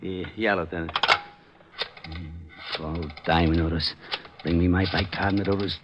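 A bumbling, put-upon cop, acted by a man grumbles in a very deep voice. The old radio drama recording is muffled and clearly noisy. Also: level tone, moderate pace, natural voice. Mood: sulky, grumbling. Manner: muttering, sulky. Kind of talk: old-time radio comic drama acting.

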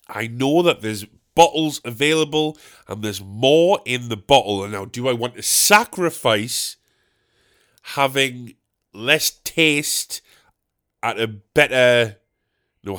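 Bright-voiced man, rambling and stuffed up. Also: mid-range voice. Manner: rambling, self-mocking, jokey asides. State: snotty, stuffed up with a cold. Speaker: podcast host and stand-up comedian. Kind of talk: solo rambling podcast chat. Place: bedroom.

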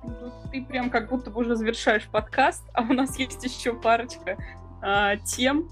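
A woman's voice: a little high, fairly even intonation, moderate pace, faint music behind. A woman co-hosting a podcast, talking informally and a little hesitantly, with a slight stammer. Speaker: podcast co-host.

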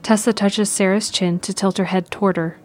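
A woman's voice: medium pitch, steady tone, normal speed, a little mellow, faint music behind. A woman narrating a scripted audio drama in the present tense, describing the action.

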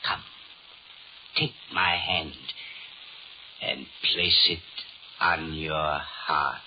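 A man's voice: a bass voice, drawn-out words, exaggerated voice. A man playing a suave, sinister Devil in a 1930s radio horror drama, formal and courtly, mocking and commanding. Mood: coaxing, menacing. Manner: formal, courtly, menacing, theatrical. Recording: muffled, noisy 1930s radio recording.

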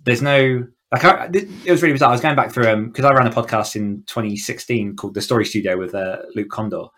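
A man's voice: mid-range voice, quick pace, a bit bright. A man speaking as a guest author and writing coach, explaining things conversationally and often rephrasing as he goes.

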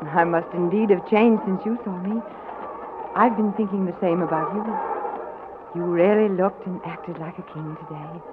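An actress as a cool, dry-witted princess. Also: female voice, lowish voice, steady tone, a little slow, lightly acted. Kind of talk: 1940s radio acting. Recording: tinny old radio broadcast with hiss.

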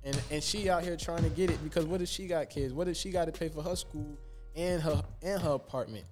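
A man's voice: on the high side, a little fast, over music. Emphatic man stammering through a point. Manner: emphatic, stammers and repeats words while making a point. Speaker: podcast co-host. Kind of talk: casual chat.

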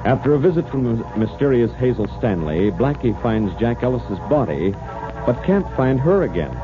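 A man's voice: mid-range voice, big pitch swings, normal speed. A man narrating a story recap as a scripted 1940s radio announcer. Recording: tinny old radio recording. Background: music.

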